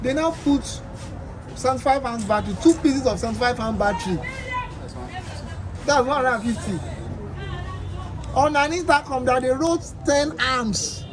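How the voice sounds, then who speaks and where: A man's voice: extremely high pitch, normal speed, long pauses, crowd noise, low-quality recording. A man with a Nigerian accent teaching a technical class, explaining emphatically with worked examples and repetition. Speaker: instructor. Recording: loud street noise, voices and music behind.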